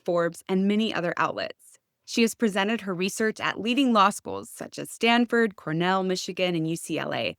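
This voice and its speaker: A woman's voice: fairly low pitch, no echo, clean studio sound. A woman hosting an interview podcast, speaking in an articulate, prepared way as she presents and questions a guest. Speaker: podcast host.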